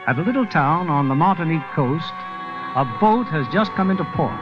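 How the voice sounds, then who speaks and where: A man's voice: a little high, expressive, fairly quick, lightly acted. A man narrating a solemn scene-setting script for a 1940s radio drama. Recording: muffled old radio broadcast, music behind.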